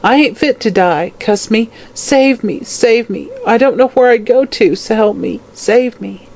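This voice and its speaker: A woman voicing a dying, greedy old hag in an audiobook, shrill and harsh, wailing, pleading and cursing in terror. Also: lively intonation, unhurried, a little mellow, a little hiss or hum, natural voice. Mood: terrified pleading.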